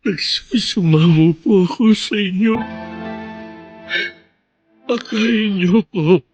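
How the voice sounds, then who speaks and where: A man's voice: high-pitched, slow pace, a little mellow. A man playing a remorseful Filipino sinner, solemnly pleading in prayer in a radio drama. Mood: anguished, pleading. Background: instrumental music.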